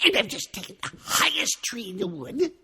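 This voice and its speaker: A man reading a storybook aloud, voicing a tearful, accusing female pigeon in a shrill, sobbing way. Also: big pitch swings, normal speed, sharp tone, husky, long reverb tail, full character voice. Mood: aggrieved, shrieking.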